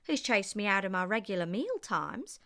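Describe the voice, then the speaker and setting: A woman's voice: medium pitch, sing-song, normal speed, a bit bright. A woman voicing a tired, aggrieved old male kangaroo in a storybook, plaintive and emphatic. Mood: indignant. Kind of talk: storybook character voice.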